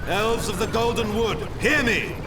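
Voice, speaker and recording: An actor playing a noble elven commander in a fantasy game, speaking in lofty, rallying oratory. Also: male voice, sits high, a little slow, heavy background noise. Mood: rousing, commanding. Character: noble, commanding male elf war leader. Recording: distant voice under loud battle music.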